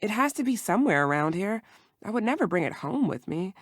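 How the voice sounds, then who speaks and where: A woman playing a careful herbal shopkeeper in a video game, instructive and gentle. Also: mid-range voice, sing-song, a little fast, dry recording, clean studio sound. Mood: encouraging, explanatory. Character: calm female herbal shopkeeper, patient, careful mentor.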